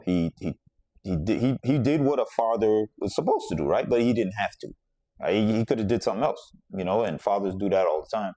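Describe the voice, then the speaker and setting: A man's voice: on the low side, dark tone, no room sound, studio quality. A man from the Bahamas hosting a podcast, telling a personal story in a reflective, conversational way and circling back to repeat his points. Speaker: podcast host.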